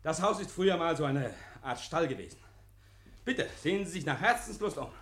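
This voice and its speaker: An actor playing a nervous, over-eager murder suspect in a 1950s radio play, effusive, stammering and repeating himself. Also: male voice, fairly high pitch, lively intonation, a little fast, a bit bright. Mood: over-eager, polite.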